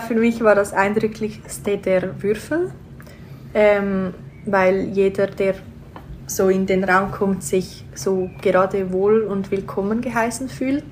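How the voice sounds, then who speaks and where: Flat-toned young woman, thoughtful. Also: medium pitch, a little hiss or hum, poor audio. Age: 20s. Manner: thoughtful, hesitant with fillers. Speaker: guest, theology student. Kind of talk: casual interview.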